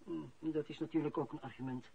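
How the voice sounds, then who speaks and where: An actor playing a shrewd, cautious senior male official in a radio drama, speaking in a measured, formal, probing manner. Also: a little high, steady tone, vocal fry, husky. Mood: stern, measured. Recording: dull old radio-play recording.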